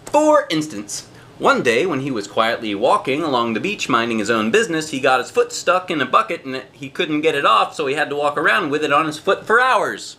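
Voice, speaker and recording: A man reads a children's storybook aloud with a bright, slightly high voice. His pitch moves around a lot as he narrates. He is expressive and leans on key phrases, stretching them out for emphasis. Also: a little hiss or hum. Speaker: storybook reader, a dad.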